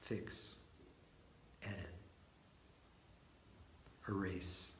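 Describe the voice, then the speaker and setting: A man with a fairly low voice leads a guided meditation in a roomy, echoing space. He speaks very slowly, offering short, gentle invitations as questions and leaving long pauses between them. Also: fairly even intonation. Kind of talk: guided meditation led live, slow and spoken in short phrases. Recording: roomy echo with faint background noise.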